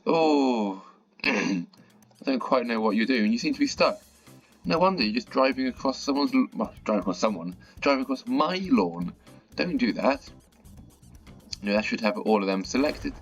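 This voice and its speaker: A man with a croaky, slightly sore-throated voice, chatting casually as he plays and comments on a bus management game. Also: on the low side. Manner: chatty, mildly exasperated at stuck buses. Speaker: gaming channel host. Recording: dull lo-fi audio with faint music.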